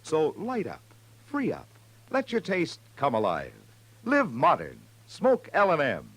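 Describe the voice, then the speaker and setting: A man's voice: fairly high pitch, huge pitch swings, measured pace, a bit bright. A man reading a 1950s radio advertisement as commercial announcer, punchy and emphatic. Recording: noisy vintage radio recording with hum.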